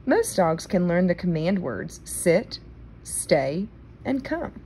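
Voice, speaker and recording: Woman reading aloud with lively pitch swings. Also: takes it slowly, a little hiss or hum. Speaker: read-aloud reader. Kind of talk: children's nonfiction book read aloud.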